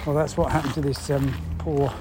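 A man explains a news story in unscripted, halting talk, thinking aloud and posing rhetorical questions. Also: a little high, little pitch movement. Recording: clear background noise.

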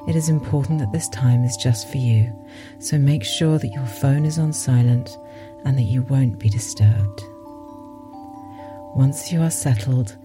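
A woman with a soft, breathy voice guides a meditation in a soothing way, pausing at length between phrases. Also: extremely low pitch. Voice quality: soft, breathy, sometimes near a whisper. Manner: slow, soothing, with long pauses. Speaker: meditation podcast host and guide.

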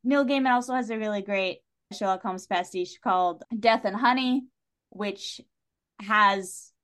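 Animated, informal woman chatting. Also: mid-range voice, unhurried. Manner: animated, informal, salty-tongued. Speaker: guest on a book discussion podcast. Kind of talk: casual chat, literary discussion.

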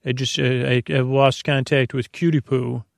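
Male podcast host for sleep listening: gravelly creak, close dry sound, halting whimsical rambles. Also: medium pitch, fairly even intonation, dry recording. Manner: meandering, halting, self-interrupting, whimsical. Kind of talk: rambling bedtime podcast monologue.

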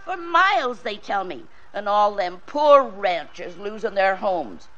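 A woman's voice: medium pitch, very animated, a little slow, fairly bright tone, muffled recording. An actress playing a chatty, folksy drive-in proprietress in an old radio drama, garrulous and rustic in her grammar. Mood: gossipy, excited.

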